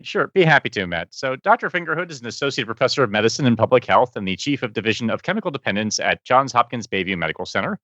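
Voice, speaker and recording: A man co-hosting a podcast, reading a guest's introduction in a brisk, upbeat way. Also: mid-range voice, expressive, sharp tone, no echo. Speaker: podcast co-host. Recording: slightly dull audio.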